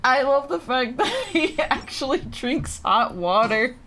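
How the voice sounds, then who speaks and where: Giggly woman streaming with lively pitch. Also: a little slow. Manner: giggly, reacts aloud while reading. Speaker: video game streamer. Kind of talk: casual livestream chat with reading aloud of game text.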